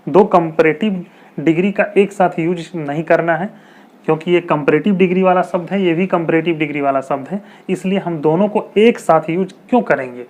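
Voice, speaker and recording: A man explaining common English mistakes in a video lesson, slowly repeating example sentences and asking rhetorical questions. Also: fairly high pitch, a little mellow. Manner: rhetorical questions, chatty teacherly asides. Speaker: English teacher.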